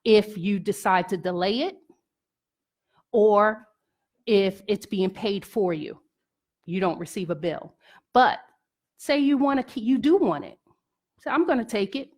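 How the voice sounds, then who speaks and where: A woman's voice: mid-range voice, animated, measured pace, long pauses, a little mellow. A woman giving an informal educational talk as a presenter, explaining step by step with emphasis and checking that listeners follow.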